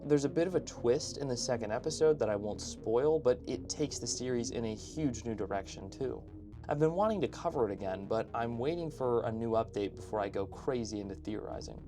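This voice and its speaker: A man narrates an online video essay in a medium-pitched voice with clear background music underneath. He speaks as an opinionated host, keeping the scripted commentary informal and conversational with frequent asides.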